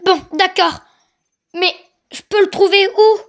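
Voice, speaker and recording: Woman as a jittery, very high-voiced little jester. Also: fairly even intonation, slow pace, full character voice. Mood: guilty, hesitant. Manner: hesitant, flustered. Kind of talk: amateur cartoon fan dub.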